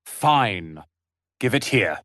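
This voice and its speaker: A man voicing a proud, sharp-tongued young tiefling wizard's apprentice in a video game, with haughty, theatrical, biting delivery. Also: lowish voice, big pitch swings, drawn-out words, no room sound, studio quality, heavily acted. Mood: grudging, sincere. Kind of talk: dramatic video game voice acting.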